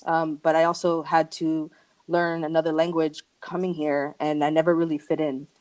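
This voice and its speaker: A woman's voice: low-pitched, level tone, moderate pace, a little mellow. A woman from Argentina and the United States speaking as a podcast guest, telling personal stories in a casual, candid, slangy way full of likes and you-knows. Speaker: podcast guest, creative working from home.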